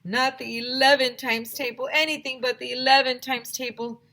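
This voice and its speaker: A woman's voice: high voice, fairly even intonation, moderate pace, sharp tone, voice pushed up. A woman voicing a dramatic, easily panicked young schoolboy in a children's storybook.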